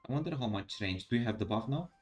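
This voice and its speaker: A man from Romania with a Romanian accent, chatting casually about a game late at night. He sounds tired and talks haltingly, with many fillers. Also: medium pitch, expressive, faint music behind. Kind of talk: casual gaming chat.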